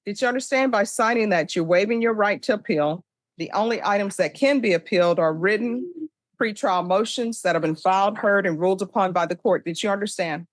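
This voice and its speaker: An American woman presides as a judge with a medium-pitched voice. She runs through formal courtroom questions briskly and by rote, sounding firmly in charge. The sound is dry. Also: dry recording, low-quality recording. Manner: brisk, authoritative, recites by rote. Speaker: judge presiding over a plea hearing.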